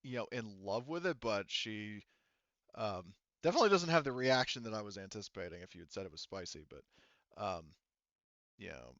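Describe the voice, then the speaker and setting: Guy game mastering, kinda low smooth voice, halting, dry close sound but a bit dull. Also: expressive, a bit bright, no echo. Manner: halting, hedging, thinking aloud. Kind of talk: improvised tabletop game narration.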